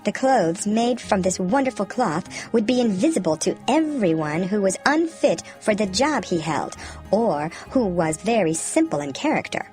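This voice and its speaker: A woman reading a children's fairy tale as an expressive, clearly enunciated storyteller. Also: mid-range voice, moderate pace. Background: background music.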